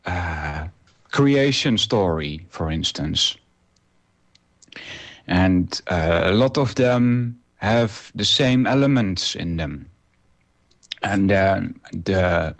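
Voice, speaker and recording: Dutch guy in his 40s doing solo radio talk, real slow, lots of uhs and restarts. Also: fairly low pitch. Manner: halting, many filler uhs and restarts. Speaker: internet radio host.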